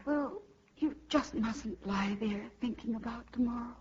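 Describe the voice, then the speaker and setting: Woman playing high-voiced, slow, soothing wife. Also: natural voice. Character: devoted, soothing wife of a worried man. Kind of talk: 1950s radio comedy acting. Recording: dull noisy 1950s radio, audience behind.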